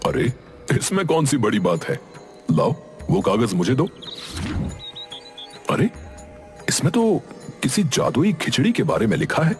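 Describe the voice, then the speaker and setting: A man's voice: medium pitch, huge pitch swings, measured pace, pauses often. A man playing a welcoming, trusted older village healer in a Hindi cartoon story. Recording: music behind the voice.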